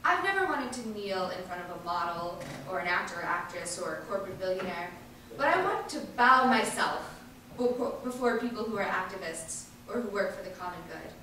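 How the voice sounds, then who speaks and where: A woman's voice: medium pitch, expressive, a little fast, pushed and bright. Woman reading a speech out earnestly in a really echoey hall, bit far off, faint noise. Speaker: reader at a staged public reading. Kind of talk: spoken reading of speeches and introductions. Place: large indoor hall at a public event.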